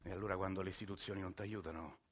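A man with a Roman accent narrating a theatrical monologue in a low, quiet storytelling voice. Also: fairly even intonation, racing, husky. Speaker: stage actor narrating.

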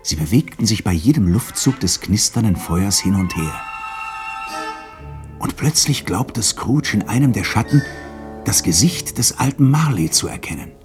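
A man's voice: lowish voice, lively intonation, normal speed. A man narrating a 1960s radio drama as a dramatic scripted story. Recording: studio radio narration with faint music behind. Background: faint music.

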